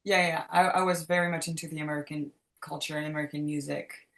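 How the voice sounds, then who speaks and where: French woman, low voice, rambling chat. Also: fairly even intonation, fast, vocal fry, a bit bright. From France. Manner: loose, rambling, many fillers. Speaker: singer, interview guest. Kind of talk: casual interview talk.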